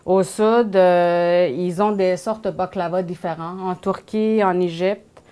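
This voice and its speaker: A woman from Iran with a Persian accent, explaining cooking casually as a guest, in halting second-language phrasing. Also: lowish voice, steady tone, mellow, smooth. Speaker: guest home cook.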